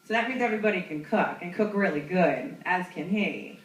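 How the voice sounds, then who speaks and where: A woman's voice: lowish voice, expressive, a little fast, roomy sound. A young woman comic from New York tells a rambling, self-mocking story from a club stage. She chats along and laughs at her own punchlines. The mic sits some distance away, and the room rings around her. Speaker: stand-up comedian. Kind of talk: stand-up comedy storytelling.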